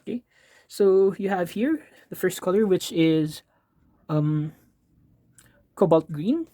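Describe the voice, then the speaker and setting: A man's voice: high voice, animated, slow pace, warm tone. A man with a Filipino accent, from the Philippines, chatting casually in an art supplies vlog. He rambles, fills his talk with ums, and corrects himself. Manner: rambling, filler-heavy, self-correcting, apologetic asides. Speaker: art vlogger.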